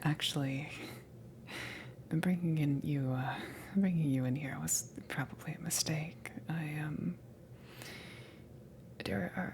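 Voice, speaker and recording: A woman playing a flustered, self-conscious alien crewmember in an ASMR roleplay, breathy and stammering, smitten with the listener. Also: deep voice, slight background noise. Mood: flustered, hesitant. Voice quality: breathy, creaky, often whispered. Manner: hesitant, stammering, slow, awkward.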